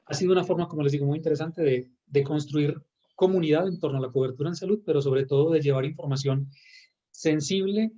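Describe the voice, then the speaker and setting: A man's voice: on the high side, fast talker, dark tone. Hesitant man on a muffled video call. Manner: hesitant, many restarts. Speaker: panelist. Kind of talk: online panel talk.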